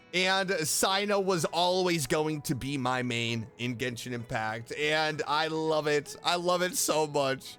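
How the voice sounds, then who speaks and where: High-pitched, animated male reaction streamer. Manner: excitable, effusive, playful. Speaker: YouTube streamer reacting to game voice lines. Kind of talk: casual, enthusiastic reaction commentary.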